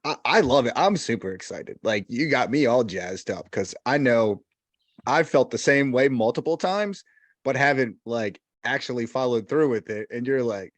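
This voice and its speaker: This is a man co-hosting a podcast, talking in an excitable, laid-back way that is easy with a curse word. His voice sits at a middle pitch and sounds dry and close, with no room to it. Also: moderate pace, no echo. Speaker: podcast host. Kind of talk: casual chat.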